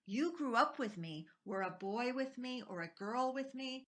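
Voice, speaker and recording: Bright, higher-pitched woman reciting poetry. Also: expressive, normal speed. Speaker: poetry reader.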